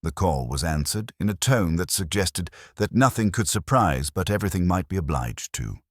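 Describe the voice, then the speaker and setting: A man narrating a scripted mystery story in a dry, wry, measured literary manner. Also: sits low, expressive, no echo.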